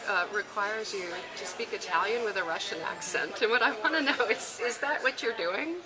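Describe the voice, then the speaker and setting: A woman's voice: medium pitch, normal speed, a crowd in the background, poor audio. A woman interviewing an actress about her film, asking questions with hesitant fillers. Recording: loud music behind.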